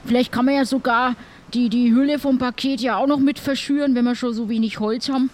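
A man's voice: very high-pitched, steady tone, a little fast. Chatty, cheeky male pirate, man acting, southern German accent, colloquial, improvised radio-play feel. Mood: bossy, indignant.

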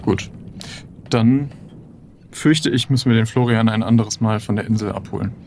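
A man's voice: on the low side, moderate pace, a little mellow, slight background noise. A man playing an improvised audio role-play game, thinking aloud as he announces his actions. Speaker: player in an adventure game.

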